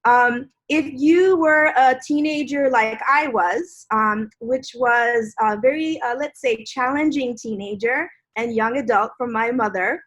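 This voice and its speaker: A woman's voice: fairly high pitch, measured pace, hardly any pauses, a bit bright, low-quality recording. A woman in her forties, born in Bangladesh, a law professor giving an earnest, reflective personal speech at an online panel. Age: 40s.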